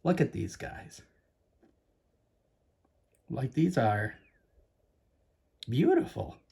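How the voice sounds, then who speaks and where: A man's voice: mid-range voice, wildly swooping pitch, extremely slow, vocal fry, dark tone, slightly rough. A man with a Canadian accent hosting a casual coin-collecting vlog, chatty and enthusiastic, talking straight to his viewers. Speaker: coin collecting channel host. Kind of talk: casual show-and-tell vlog.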